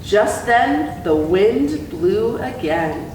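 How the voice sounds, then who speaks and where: A woman working as an educator, giving a talk and storytime reading for children with gentle, deliberate delivery. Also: sing-song. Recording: very echoey room, distant mic, faint rumble.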